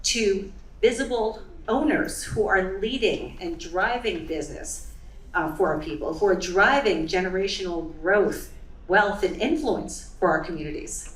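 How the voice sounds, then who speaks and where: A woman's voice: mid-range voice, measured pace, distant mic. A woman presenting to a live audience in a chatty way, often checking in with "right?" and "you know". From United States. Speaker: event speaker. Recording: echoey room, voice off-mic.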